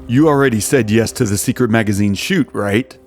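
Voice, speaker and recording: A man's voice: lowish voice, fairly quick, soft background music, natural voice. A man playing a devoted, commanding billionaire husband in an audio drama, speaking with intimate assurance. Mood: tender, assured.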